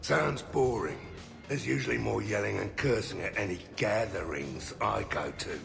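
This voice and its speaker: An actor playing a grizzled, blunt mercenary in a video game, with a gravelly, sardonic delivery. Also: male voice, on the low side, normal speed, fairly bright tone, faint music behind. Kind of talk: video game character acting.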